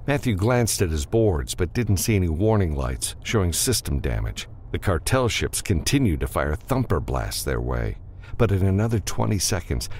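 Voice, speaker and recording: A man narrating a science fiction audiobook in a measured, expressive storytelling style. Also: low-pitched, a little hiss or hum.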